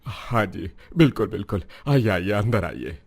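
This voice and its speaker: A man's voice: on the low side, sing-song, moderate pace, vocal fry, husky, full character voice. Guy voicing a poor humble cook, polite and worried, cartoon dad. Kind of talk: cartoon voice acting.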